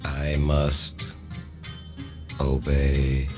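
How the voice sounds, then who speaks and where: An actor playing a mindless, menacing zombie man who obeys in a halting trance, in a horror radio drama. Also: very deep voice, completely flat delivery, soft background music, heavily acted. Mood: menacing, entranced. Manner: slow, halting, trance-like delivery. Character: spore-infected zombie man, mindless, menacing, obedient servant.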